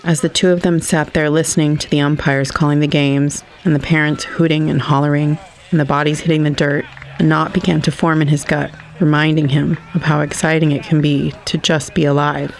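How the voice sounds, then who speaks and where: A man reading literary scripted narration for an audio story in a measured storytelling manner. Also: fairly high pitch, flat delivery, creaky.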